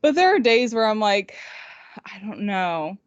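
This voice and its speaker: A woman's voice: medium pitch, big pitch swings, a little slow, dry recording, lo-fi sound. A woman chatting casually as a podcast co-host and indie author, candid and self-deprecating. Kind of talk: casual chat.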